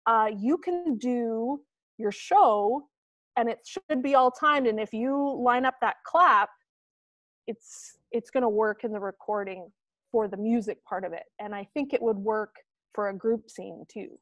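A woman's voice: on the high side, measured pace, no echo. A woman, a teacher explaining something informally to peers on a video call. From Canada. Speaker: middle school teacher.

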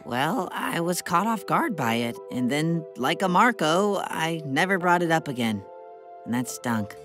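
A man's voice: on the high side, very animated, slow. An American man playing an awkward, earnest teenage boy in love in a cartoon. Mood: sheepish. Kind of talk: cartoon voice acting. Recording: music over cartoon dialogue.

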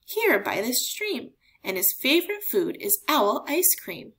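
Woman voicing a bold little mouse, high-pitched. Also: big pitch swings, unhurried, a bit bright, full character voice. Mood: sly. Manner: polite, bold, bluffing. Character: small, clever, boastful brown mouse.

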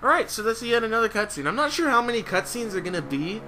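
A man's voice: high-pitched, very animated, fast, background noise. A young man giving casual let's play commentary as host of a gaming video. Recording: loud game music behind. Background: video game music.

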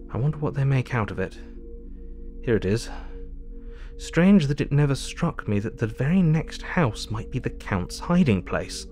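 An actor playing a thoughtful Victorian doctor reading his diary aloud in a formal, measured, reflective way. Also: lowish voice, a little mellow, lightly acted. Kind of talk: dramatic audio diary narration. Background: music.

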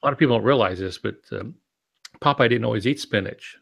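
A man's voice: medium pitch, fast talker, creaky, a bit bright. A man in his sixties giving casual writing advice with dry humor on a video-call podcast. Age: 60s. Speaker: podcast co-host, novelist. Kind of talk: casual teaching talk with dry jokes. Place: home office, indoors. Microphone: earbud headset on a video call.

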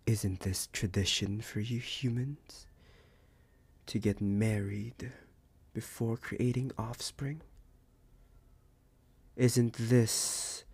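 Male actor as smug werewolf alpha lover, very breathy, gravelly, possessive, teasing, whispery ASMR roleplay. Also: lowish voice, a little mellow, exaggerated voice. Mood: commanding, smug. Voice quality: husky, breathy, often dropping to a whisper. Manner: slow, deliberate, teasing, with long pauses.

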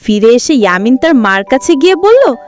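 Animated woman telling a fairy tale. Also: high-pitched, moderate pace, music in the background. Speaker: storyteller. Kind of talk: scripted children's fairy tale narration.

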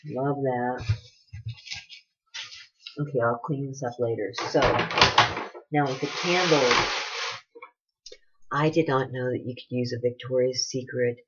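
A woman's voice: a bass voice, steady tone, takes it slowly, long pauses. A woman in her forties chatting casually in her living room, gushing over products and rambling between them. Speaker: beauty vlogger. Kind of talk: casual product haul chat. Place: living room at home, indoors. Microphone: webcam at desk distance. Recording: dull lo-fi webcam audio with noise.

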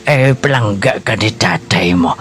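A man's voice: fairly low pitch, lively intonation, measured pace, fairly bright tone. A man with a Hiligaynon accent playing a kindly elderly grandfather in a radio drama, soothing his grandson with hesitant, eh-filled speech. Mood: gentle, reassuring. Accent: Hiligaynon (Western Visayas). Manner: hesitant, filling speech with repeated "eh".

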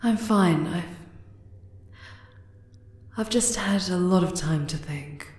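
A woman voicing a regal, possessive yet tender spider queen in an ASMR roleplay, soft and deliberate in manner. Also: lowish voice. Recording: roomy, echoing cave-like reverb.

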